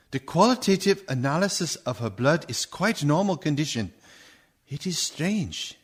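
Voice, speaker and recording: An actor in a radio horror play playing a grave old professor of medicine, husky, halting and ominous in formal phrasing. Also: male voice, on the high side, full character voice.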